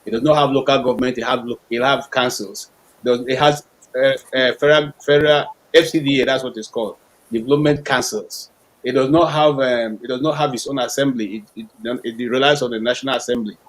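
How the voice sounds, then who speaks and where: A man's voice: mid-range voice, steady tone, low-quality recording. A man with a Nigerian accent, a guest on a live panel, argues a constitutional point and keeps restarting and repeating words as he builds it. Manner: halting, repeats words while building an argument. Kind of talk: heated live panel discussion.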